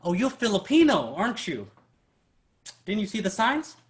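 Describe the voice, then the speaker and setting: Hostile small-town lawman for a staged drama played by a man, bullying and menacing, raised pitch that jumps around. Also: huge pitch swings, moderate pace. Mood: hostile, taunting. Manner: taunting, menacing. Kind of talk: staged reading acting.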